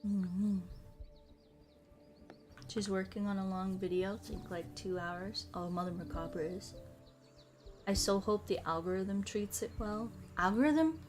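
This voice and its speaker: American girl streamer, woman chatting slangy and loose while gaming, medium pitch, music behind her. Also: measured pace, pauses often, a little mellow. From United States. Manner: playful, slangy, rambling. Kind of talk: casual livestream chat over a puzzle game.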